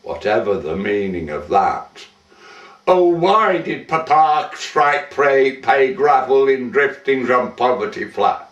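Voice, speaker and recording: Man reciting as a wistful young heiress, slow, echoey. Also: medium pitch, lively intonation, muffled recording. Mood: fond, teasing. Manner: theatrical, measured, with lingering pauses. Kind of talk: dramatic poetry recitation. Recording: roomy echo, distant microphone.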